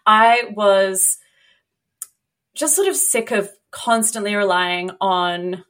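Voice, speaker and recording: A woman's voice: medium pitch, slow, fairly bright tone. Female podcast host, mid-range voice, effusive and conversational delivery. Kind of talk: podcast introduction and interview chat.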